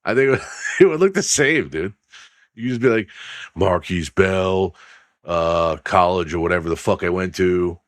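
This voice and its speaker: A man hosting a casual sports podcast, rambling and swearing as he reads news aloud with his own asides, his voice going hoarse. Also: sits low, expressive, moderate pace, no room sound, clean studio sound. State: losing his voice. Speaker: podcast host.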